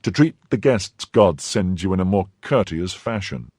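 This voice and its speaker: A man voicing a bold young musketeer who speaks in a brisk, commanding way. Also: low voice, expressive, darkish tone, no room sound, studio quality.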